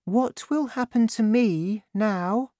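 A man voicing a small frightened orphan girl in a storybook reading, with high pleading cries. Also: slow pace, mellow, no echo, studio quality. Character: little orphan girl, frightened then plucky.

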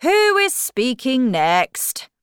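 A woman's voice: high voice, wildly swooping pitch, drawn-out words, smooth, no room sound, studio quality, voice pushed up, exaggerated voice. A woman voicing a pompous, stern king who commands and questions in a storybook. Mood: questioning.

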